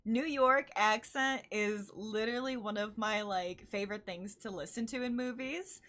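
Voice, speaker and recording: A woman's voice: medium pitch, normal speed, a bit bright. A woman with a Chicago accent hosting a casual interview. She is bubbly and giggly and laughs easily. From Chicago, US Midwest. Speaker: interview host. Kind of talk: casual online interview chat.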